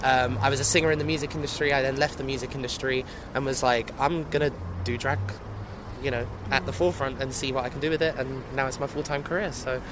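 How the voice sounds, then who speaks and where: A young drag performer chatting casually in a street interview, playful and full of fillers, outdoors on a seafront street. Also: male voice, mid-range voice, steady tone, fast talker. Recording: busy outdoor street noise.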